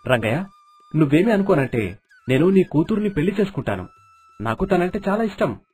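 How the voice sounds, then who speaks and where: A man's voice: on the high side, very animated, fairly quick, a little mellow, husky, pitched above the performer's own voice. A man voicing a polite, earnest young son of a landlord in a cartoon moral story. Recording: dialogue over background music.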